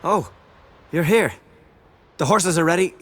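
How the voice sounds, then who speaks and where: A man's voice: sits high, a little slow, a bit bright. A man playing an earnest, bashful young island villager in a video game. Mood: shy, helpful. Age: young adult. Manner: rustic dialect, flustered and eager. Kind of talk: dramatic video game acting.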